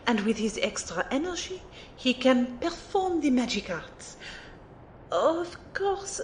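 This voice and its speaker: Woman acting as playful posh-mannered magic teacher lady, audio drama, real slow n precise, bit of noise behind her. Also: darkish tone. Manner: formal, precise, teacherly phrasing. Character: refined, playful female magic mentor, teasing and lecturing. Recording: roomy amateur recording, faint rumbling noise.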